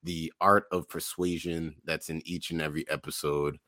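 A man hosting a casual podcast, addressing listeners in measured, promotional show-host phrases. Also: a bass voice, level tone, sharp tone, no room sound, clean studio sound.

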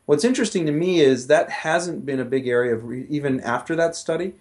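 A man's voice: on the high side, fairly quick, a little mellow. A middle-aged man, a professor guest, explaining his research conversationally with frequent restarts and "you know".

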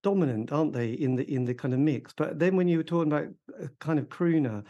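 A man's voice: fairly high pitch, fast talker, mellow, dull audio. A man interviewing a musician, asking long rambling questions that end in tags. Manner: rambling, leading questions with tag endings.

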